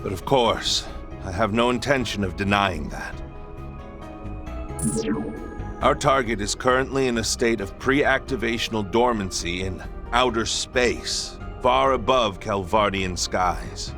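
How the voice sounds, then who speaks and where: An actor playing a composed, resolute head of state in a video game, speaking formally and with authority. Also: male voice, on the low side, a little slow, pushed and bright, faint music behind. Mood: composed, confident. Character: resolute, composed male president of a republic. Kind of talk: video game cutscene voice acting.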